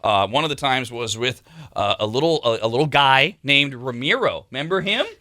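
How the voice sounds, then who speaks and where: A man's voice: mid-range voice, expressive, moderate pace, bright, forceful tone, no room sound, studio quality. A man hosting a morning radio show, reading listener emails in a joking, teasing, rambling way. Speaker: radio host.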